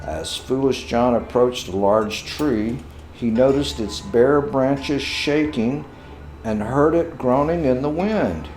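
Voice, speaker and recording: A male storyteller reads a folktale at a slow, measured pace. His voice is medium in pitch, with noticeable room echo and soft music behind it. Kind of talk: scripted folktale narration.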